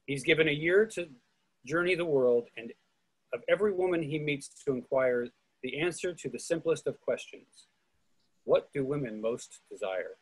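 A man's voice: fairly high pitch, animated, normal speed, a little mellow, husky, low-quality recording. A man reading stage directions from a script in an online play reading over a video call.

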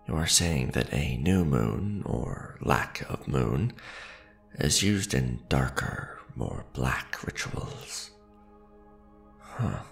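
An ancient vampire lord played by a man speaks in a heavily creaky voice that swings widely in pitch. He is formal and grandiose, a devoted yet ruthless aristocrat in an ASMR roleplay. Faint music sits behind his gravelly words. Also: fairly bright tone, full character voice. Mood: pensive. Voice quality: deep, gravelly. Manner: formal, grandiose, deliberate phrasing with pauses.

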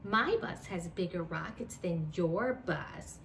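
A woman's voice: lowish voice, expressive, a bit bright, recorded from a distance, slight background noise, exaggerated voice. Woman doing a smug, bragging schoolgirl. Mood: boastful. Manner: slow, emphatic bragging. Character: boastful show-off schoolgirl, smug and competitive.

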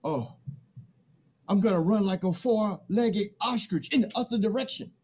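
A naive young pupil in a play, acted by a man. His voice is notably high for a male, and he sounds hesitant, bewildered and timid. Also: normal speed, breathy edge. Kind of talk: theatrical play reading.

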